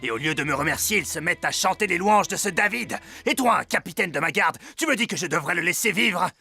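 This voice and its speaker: An actor playing a jealous, wrathful, imperious king in a French animated Bible drama, with a rough, gravelly voice. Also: high voice, brisk, full character voice. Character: jealous, wrathful, brooding middle-aged king. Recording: music under the voice. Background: music.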